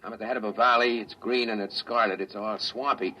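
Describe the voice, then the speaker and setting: An actor playing a careful, deferential space scout reporting to a superior in 1950s radio drama style. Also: male voice, fairly low pitch, fairly even intonation, fairly quick, bright, forceful tone. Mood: reporting, tentative. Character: dutiful male space scout, careful, deferential junior officer. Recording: muffled, noisy vintage 1950s radio recording.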